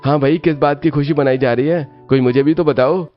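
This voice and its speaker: A helpful young man in love, acted by a male narrator, speaks in cartoon dialogue. The voice is often lifted in pitch, with faint music behind it. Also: fairly even intonation, a little fast, dull audio, natural voice. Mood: friendly. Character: helpful, lovestruck young man of a cave home.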